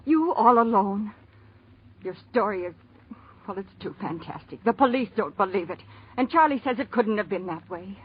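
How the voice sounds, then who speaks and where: An actress playing a concerned, doubting sweetheart in an old radio drama, speaking earnestly and hesitantly. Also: female voice, mid-range voice, lively intonation, normal speed, slightly rough. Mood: troubled, doubting. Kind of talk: 1940s radio acting. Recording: muffled, noisy old radio recording.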